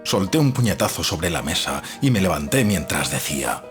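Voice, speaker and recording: A grave, emotional doctor played by a man narrates his diary for a dramatized audiobook. His voice is very low, with music playing faintly beneath it. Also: very animated, normal speed. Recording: music under the voice.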